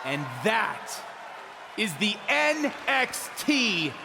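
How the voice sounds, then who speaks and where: Cocky wrestling champion, slow and high-pitched. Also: male voice, big pitch swings, vocal fry, heavily acted. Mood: defiant. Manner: emphatic, halting phrases for effect. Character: cocky champion pro wrestler cutting a promo. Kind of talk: wrestling promo on the microphone. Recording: echoey arena PA with crowd noise.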